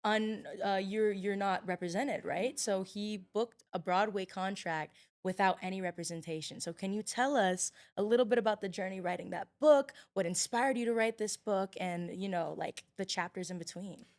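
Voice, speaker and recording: A woman's voice: medium pitch, normal speed, hardly any pauses. A woman hosting a casual interview show, effusive and encouraging, often chiming in on her guests. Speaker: talk show host and interviewer.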